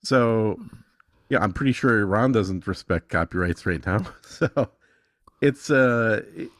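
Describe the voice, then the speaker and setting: A man's voice: fairly low pitch, unhurried, dry recording, studio quality. A man explaining things casually and with assurance, as a guest in a conversation. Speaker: podcast guest demonstrating software.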